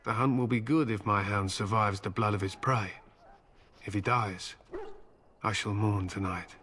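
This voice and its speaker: A hardened male warrior, low in pitch and blunt in manner. He makes stern, proud declarations in a fantasy game, over faint ambient noise. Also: steady tone, measured pace, a bit bright. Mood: grim, proud. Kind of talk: fantasy video game voice acting.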